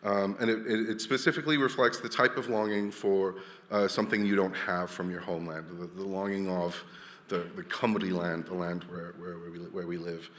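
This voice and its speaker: A man's voice: low voice, fairly even intonation, brisk, fairly bright tone, long reverb tail. A man from Wales, giving a chatty, jokey conference talk full of restarts. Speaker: conference speaker. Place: large hall.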